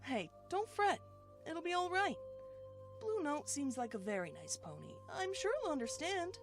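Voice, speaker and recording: A woman voicing a practical, reassuring young boy dragon in an animated drama. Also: sits high, moderate pace, soft background music. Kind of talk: animated pony fan-drama acting.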